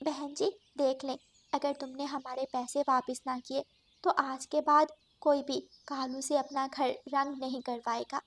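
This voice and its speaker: A woman voicing a gentle, earnest mother bird in a children's cartoon. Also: high voice, level tone, unhurried. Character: gentle anxious mother songbird.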